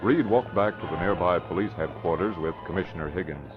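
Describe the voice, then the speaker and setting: Old time radio narrator guy, deep voice, loud music underneath, muffled. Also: brisk. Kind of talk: 1940s radio scripted narration.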